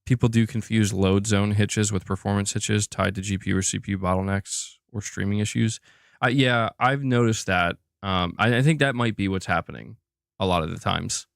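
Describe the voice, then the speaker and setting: Low-pitched man, casual gaming commentary. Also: moderate pace, gravelly, dry recording, studio quality. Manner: informal, conversational, responding to chat. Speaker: host of a gaming news livestream.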